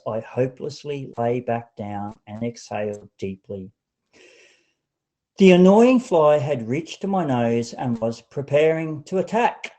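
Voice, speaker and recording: A man reading a story aloud as an audiobook narrator, in a deliberate, expressive storybook manner. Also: mid-range voice.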